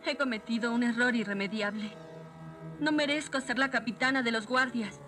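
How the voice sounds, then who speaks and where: Woman as proud young cartoon heroine, high voice, dramatic, remorseful, Latin American accent. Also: normal speed, music in the background, close to an everyday voice. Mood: resigned. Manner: earnest, dramatic. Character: proud, guilt-ridden young warrior woman captain.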